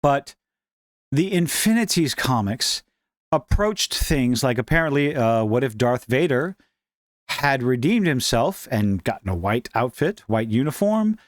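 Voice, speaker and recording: A man's voice: medium pitch, sing-song, measured pace, dry recording. Man giving wry, casual commentary, dry close sound. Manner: opinionated, wry, informal. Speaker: commentary show host. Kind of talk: casual commentary, reading and reacting to online posts.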